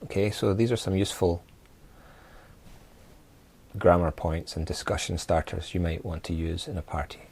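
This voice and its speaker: A man from Scotland teaching an informal English lesson, speaking haltingly and giving simple example phrases. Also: deep voice, vocal fry, darkish tone, slightly rough. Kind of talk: informal language lesson to camera.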